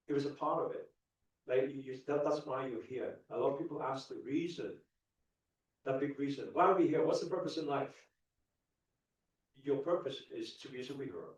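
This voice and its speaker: A man's voice: mid-range voice, moderate pace, long pauses, distant mic. Man speaking on stage in an echoey hall. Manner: earnest, audience questions, lots of restarts and rising emphasis. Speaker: motivational speaker. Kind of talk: live stage talk to an audience. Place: large indoor event hall. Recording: echoey hall, mic heard from afar.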